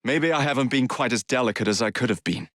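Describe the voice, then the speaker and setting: An actor playing a cocky, roguish young Victorian gang leader in a video game, with an English accent and a cheeky, theatrical, teasing way of speaking. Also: male voice, mid-range voice, expressive, fast talker, fairly bright tone, dry recording, studio quality, lightly acted. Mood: wry, determined. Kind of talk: dramatic video game dialogue lines.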